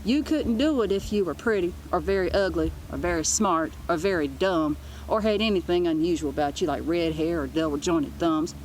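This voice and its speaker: A woman with a slightly low voice narrates a children's book aloud. Her storytelling is informal, and there is faint noise in the background. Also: fairly quick, hardly any pauses, a little mellow, poor audio. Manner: informal, with asides.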